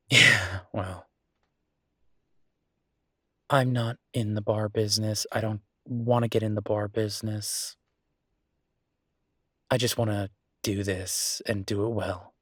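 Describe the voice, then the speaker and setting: A man playing an easygoing, passionate restaurateur boyfriend in a conversational roleplay audio drama, husky and chatty with emphatic repetition. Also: medium pitch, dry recording, clean studio sound, natural voice. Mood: wry, chatty.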